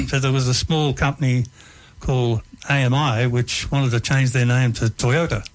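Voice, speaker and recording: An older Australian man telling his life story as a radio guest, relaxed and hesitant, with frequent ums. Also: medium pitch, normal speed.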